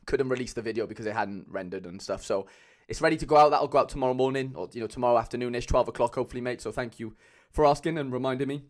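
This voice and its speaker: A young man with a Cardiff accent chatting casually as a livestream host, loose and rambling with lots of fillers, speaking into a mic on a boom arm at home. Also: racing, few pauses. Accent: Cardiff Welsh. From Wales. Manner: chatty, loose, many fillers, calls viewers lads.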